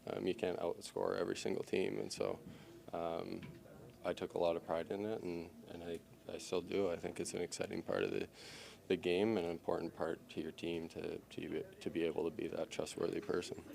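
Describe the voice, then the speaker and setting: A hockey player at a press scrum, answering in halting speech full of fillers and repeated words. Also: male voice, sits low, flat delivery, vocal fry, a little mellow. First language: English.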